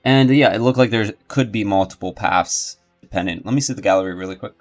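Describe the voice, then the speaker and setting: A low-voiced man hosts a casual game stream. He rambles and thinks out loud as he talks to viewers, with faint game music playing behind him. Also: fast. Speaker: video game streamer and host. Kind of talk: casual livestream commentary.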